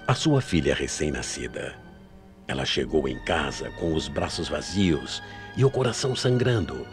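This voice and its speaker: Very deep-voiced Brazilian male radio narrator. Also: big pitch swings, moderate pace, over music. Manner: solemn, suspenseful. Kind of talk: dramatic scripted narration of radio mystery tales.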